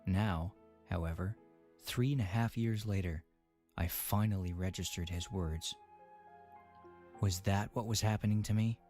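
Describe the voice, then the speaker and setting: A man narrating a dramatic first-person story in a brooding, measured manner. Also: low-pitched, a little mellow. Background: faint music.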